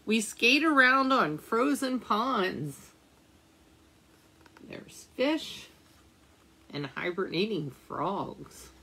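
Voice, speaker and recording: A woman librarian reading a picture book aloud at storytime, slowly and gently, pausing to ask the children questions. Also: mid-range voice, gravelly, breathy edge.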